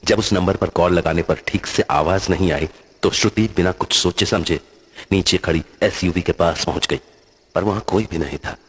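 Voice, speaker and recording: A man's voice: low voice, moderate pace, a little hiss or hum. A man narrating a scripted horror story.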